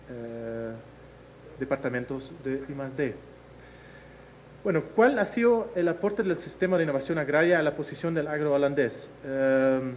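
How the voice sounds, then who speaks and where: A man from the Netherlands lectures in Spanish with an accent that blends Chilean and Dutch. His voice sits somewhat high for a man, and he pauses often on "eh". A faint hum of room noise runs under the talk. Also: a little mellow, low-quality recording. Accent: mix of Chilean Spanish and Dutch. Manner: frequent hesitations with "eh". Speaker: speaker presenting a case study. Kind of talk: presentation lecture.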